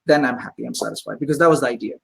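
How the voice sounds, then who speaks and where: A man who owns a pizzeria, telling stories as a guest in a casual interview, animated and full of fillers. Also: mid-range voice, rapid-fire, creaky, slightly rough. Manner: animated storyteller, many fillers.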